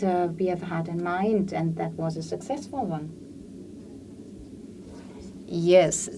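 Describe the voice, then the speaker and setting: A woman's voice: on the low side, a little mellow, a little hiss or hum. A woman at a panel discussion's Q&A, speaking hesitantly with many fillers. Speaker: audience member at a panel discussion. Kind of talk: conference Q&A comment. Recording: distant mic, faint hall ambience.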